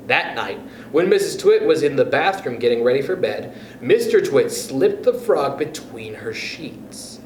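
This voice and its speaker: A man reading a children's story aloud as a storyteller, in an animated, expressive way. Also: fairly high pitch, far from the mic. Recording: roomy echo with faint background noise.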